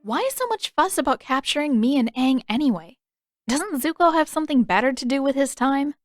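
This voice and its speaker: A woman's voice: high voice, expressive, fairly quick, no room sound, studio quality, faint music behind, voice pushed up. A woman voicing a defiant, inquisitive teenage girl captive in an audio story. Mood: pointed, skeptical. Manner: pointed, inquisitive. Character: defiant, questioning fourteen-year-old girl held captive.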